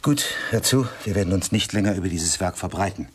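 Cultured middle-aged gentleman, low husky voice, condescending, emphatic, self-satisfied, radio play. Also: brisk. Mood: brisk, superior. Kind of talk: German radio drama acting.

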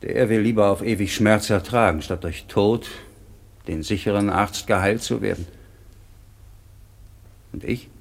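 An actor playing a remorseful chained prisoner in a radio drama, brooding and anguished. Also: male voice, fairly low pitch, unhurried, vocal fry, long pauses. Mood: weary remorse. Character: remorseful chained male prisoner longing for death.

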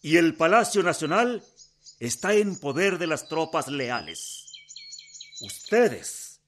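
An actor playing a solemn, composed president in a radio drama, speaking in a formal, oratorical way. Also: male voice, fairly high pitch, big pitch swings, slow, fairly bright tone, a little hiss or hum, exaggerated voice. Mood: solemn rallying. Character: male president rallying loyal troops, solemn and composed. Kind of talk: Spanish-language historical radio drama acting.

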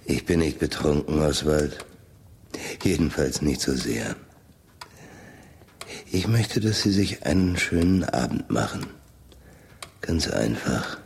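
A man's voice: very deep voice, expressive, slow pace, vocal fry, pauses often, slight background noise, exaggerated voice. A man playing a thoughtful, reserved scientist in a radio drama, speaking in a hesitant, reflective way. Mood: weary, reserved. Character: thoughtful, reserved male scientist and wealthy employer.

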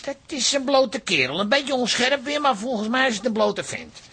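Very raspy, blunt simple man. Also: very high voice, normal speed, full character voice. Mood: blunt, matter-of-fact. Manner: deadpan, hesitant, plain-spoken. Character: blunt, simple older man, naively lewd art viewer. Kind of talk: Dutch radio play acting.

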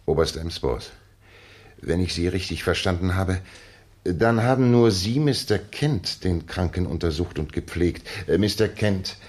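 An actor playing a composed gentleman detective in a 1960s German radio drama, courteous and precise in measured phrases. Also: sits low, animated, breathy edge, full character voice. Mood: courteous, probing. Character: composed, methodical gentleman detective explaining his deductions.

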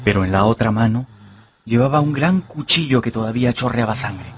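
An actor narrating an adventure novel as a storyteller in a dramatized radio audiobook. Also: male voice, mid-range voice, lively intonation, brisk, slightly rough. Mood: grave storytelling.

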